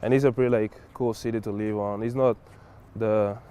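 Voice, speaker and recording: A young man from Spain with a low, mellow voice that stays smooth and clear. He answers in a flat, unhurried way and drops filler words as he talks. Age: young adult. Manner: relaxed, many fillers like "like" and "whatever". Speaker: college basketball player. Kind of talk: casual interview answers.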